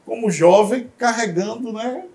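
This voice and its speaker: A male host with a gravelly edge to his voice. He leads the conversation slowly, with emphasis on each point. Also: high voice, darkish tone. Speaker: podcast host. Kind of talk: interview conversation.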